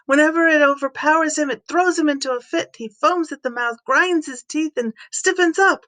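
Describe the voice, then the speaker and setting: A desperate, pleading father from a scripture story, acted out by a woman narrator, pitched high and urgent. The delivery is imploring and intense, as from a parent begging for help for a child. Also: normal speed, pushed and bright, no room sound. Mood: distressed, urgent.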